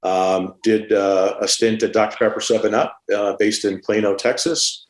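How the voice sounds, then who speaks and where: A middle-aged man, born in Cuba and raised in New York, telling his career story as a podcast guest in a measured way, with many "uh" fillers. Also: fairly low pitch, flat delivery. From born in Cuba, grew up in Staten Island, New York. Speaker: podcast guest, supply chain executive. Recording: echoey room.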